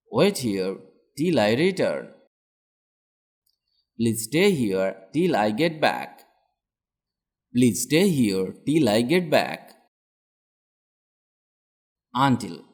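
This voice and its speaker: A man reading out words and short example sentences as a scripted English lesson, in a deliberate, instructional manner. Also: medium pitch. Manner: deliberate, each sentence said twice. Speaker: English language teacher.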